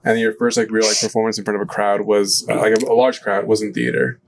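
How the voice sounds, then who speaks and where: A man's voice: on the low side, flat delivery, quick pace. Laid-back slangy man hosting. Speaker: podcast host. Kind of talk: casual interview chat.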